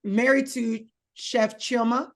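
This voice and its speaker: A woman's voice: a little high, fairly even intonation, slow. A woman hosting an interview show, coaxing her guest through a guessing game with patient hints. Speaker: show host.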